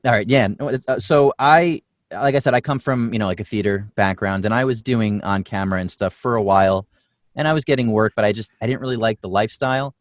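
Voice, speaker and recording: A man's voice: on the low side, fast talker, no echo, studio quality. A man, a voice actor, chatting casually on a panel in a rambling, laid-back way.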